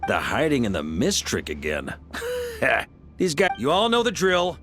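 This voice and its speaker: A man's voice: mid-range voice, sing-song, measured pace. A man playing a hearty veteran knight commander in a video game, rallying and informal in manner. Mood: commanding. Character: hearty veteran knight grandmaster, battle-ready leader of men. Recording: loud game music behind.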